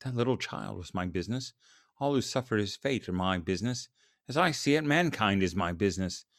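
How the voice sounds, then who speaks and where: A man plays a grieving Victorian writer, brooding and racked with guilt. The words come as an impassioned lament in a storybook reading, low and dry. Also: animated, brisk, dry recording. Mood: grieved, impassioned.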